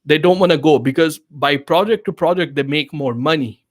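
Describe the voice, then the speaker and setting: A man's voice: on the high side, normal speed. A man whose first language is Urdu, hosting a live question-and-answer stream and giving casual business advice in loose, filler-heavy English. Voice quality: non-native English phrasing. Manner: conversational, many fillers and restarts, asks "you know what I mean".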